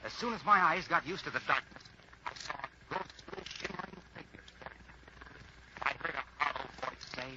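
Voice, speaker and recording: A man's voice: fairly high pitch, a little fast, pauses often, lightly acted. A man playing an eager, salesmanlike party guest on old radio, speaking in a polished, theatrical storytelling way. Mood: suspenseful storytelling. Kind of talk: 1940s radio storytelling and sponsor pitch. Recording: muffled, noisy vintage radio recording.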